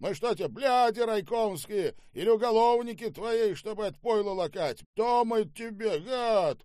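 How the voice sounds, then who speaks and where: Man acting a foul-mouthed, high-pitched raging bully. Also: expressive, normal speed, a little mellow, no room sound, clean studio sound. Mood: sneering rage. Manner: barking, swearing, sneering. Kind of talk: audiobook character voice.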